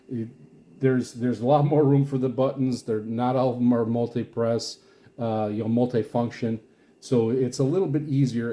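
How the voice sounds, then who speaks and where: A man giving a casual, rambling product walkthrough as a reviewer, speaking in a halting, explanatory way. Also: medium pitch, mellow. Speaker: radio reviewer and vlogger.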